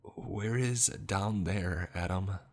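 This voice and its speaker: A man's voice: deep voice, steady tone, slow, a bit bright, breathy edge, heavily acted. A man voicing a frightened, grieving young man who pleads in a shaky voice, in a horror story.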